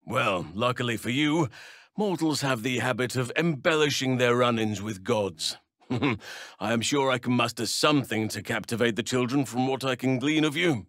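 An actor playing a wise, gentle elf druid in a video game, with a measured, formal, thoughtful delivery. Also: male voice, mid-range voice, no echo, studio quality, lightly acted. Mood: thoughtful, calm. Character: wise, gentle male elf druid, nature-loving and solemn. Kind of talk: dramatic game dialogue.

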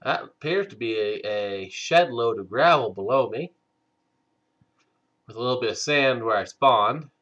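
Man with a head cold, game commentary host, unhurried and halting, bright tone, dull lo-fi mic. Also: mid-range voice, expressive. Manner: slow, hesitant, many "uh" fillers. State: stuffed up from a cold. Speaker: let's play host. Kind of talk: casual gameplay commentary.

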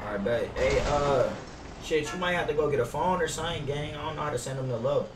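Young male streamer, slangy and informal, slightly high voice, echoey room with game noise. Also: fast, recorded from a distance. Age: young adult. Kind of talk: casual live-stream chat while gaming. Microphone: gaming headset.

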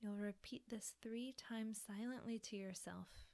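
Female meditation guide: hushed, soothing, creaky mid-range voice, leaving space between instructions. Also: medium pitch, steady tone. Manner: soft, breathy, soothing instruction with pauses. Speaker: yoga nidra teacher.

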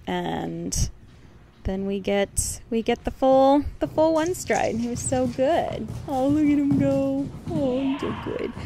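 Woman, gravelly vocal fry, casual vlog commentary, chatty and affectionate, mid-pitched, clear background noise. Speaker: horse rider and vlogger.

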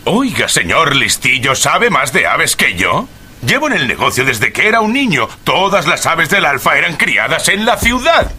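An actor playing a blunt, stubborn, irritable poultry stallholder in a period mystery drama, gruff and combative in manner. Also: male voice, on the high side, big pitch swings, normal speed, pushed and bright, full character voice. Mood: scornful, combative.

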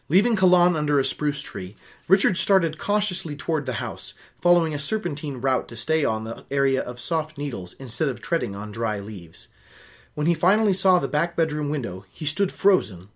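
A young man hosting a reading show, reading a novel aloud and breaking off into opinionated, nitpicking commentary. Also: mid-range voice. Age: young adult. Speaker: host of a book-reading show. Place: small home office, indoors.

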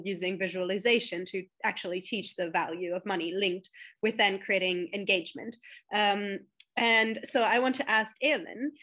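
Woman, panel moderator, measured, hesitant fillers, video call sound. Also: medium pitch, fairly bright tone.

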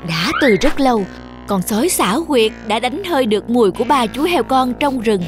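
Female narrator for a children's fairy tale, slightly high voice, music playing behind. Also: expressive, normal speed. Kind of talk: scripted children's story narration.

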